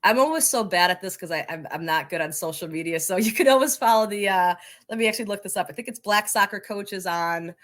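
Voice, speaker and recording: A woman's voice: medium pitch, quick pace, a bit bright. A woman speaking as an interview guest, answering conversationally in an enthusiastic, encouraging manner. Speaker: interview guest, coaches' association leader.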